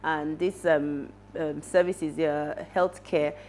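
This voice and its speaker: A woman's voice: low-pitched, steady tone, takes it slowly, a little mellow, a little hiss or hum. A woman in her thirties with a Nigerian accent, reviewing and commenting on news as a talk show panelist in a studio. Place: TV studio, at a desk.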